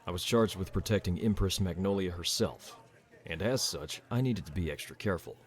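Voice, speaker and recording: A man playing a calm, fatherly bartender and former royal guard in a fantasy audio drama, measured and easygoing. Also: deep voice, natural voice. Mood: steady, serious. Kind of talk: amateur fantasy audio roleplay acting.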